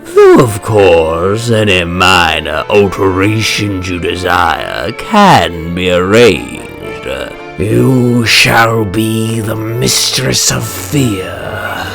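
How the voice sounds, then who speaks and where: Creepy bitter supervillain, deep n theatrical, drags his words out, music behind. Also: very animated, creaky, heavily acted. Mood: coaxing, menacing. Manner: theatrical, slow, deliberate. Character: bitter, menacing male supervillain, obsessed with fear and revenge. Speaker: voice actor. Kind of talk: dramatic comic dub narration and acting.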